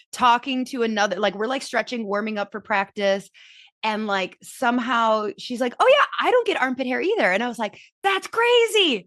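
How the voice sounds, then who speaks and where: A woman's voice: on the high side, fairly bright tone, dry recording, studio quality. A woman in her thirties from Southern California hosting a podcast, chatting casually with frequent laughs and fillers. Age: 30s. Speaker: podcast host. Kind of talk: casual conversational interview.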